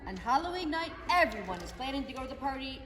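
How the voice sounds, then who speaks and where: A woman narrating a children's reader's theater story in an expressive, animated way, recorded from afar in an echoey hall. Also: high voice, quick pace, some chatter behind. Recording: echoey hall, mic far off.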